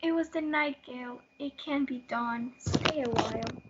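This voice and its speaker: A woman's voice: high voice, normal speed, natural voice. A woman playing Juliet, a devoted, anguished young bride, in a student readers theatre. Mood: pleading. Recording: muffled, noisy lo-fi home recording.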